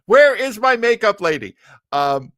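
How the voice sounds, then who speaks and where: A man hosting a live-streamed show, chatting casually and jokily with viewers, rambling along and quick to chuckle. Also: high voice, big pitch swings, fairly bright tone, no room sound, clean studio sound.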